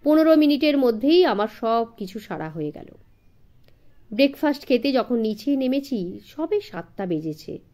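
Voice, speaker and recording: Female audiobook narrator, fairly high voice, very animated pitch, dramatic scripted storytelling for a young audience. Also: normal speed.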